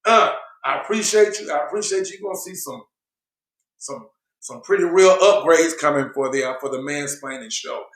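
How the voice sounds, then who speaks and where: A man's voice: a little high, moderate pace, a bit bright, roomy sound, low-quality recording. A man hosting a casual online talk show in a laid-back, slangy way, saying he is losing his voice. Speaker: show host.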